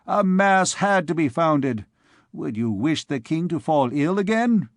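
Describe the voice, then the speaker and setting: A man's voice: fairly high pitch, animated, a little slow, dry recording, studio quality. Man as a grave, haughty citizen. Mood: stern rebuke. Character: tall, grave male citizen, haughtily rebuking.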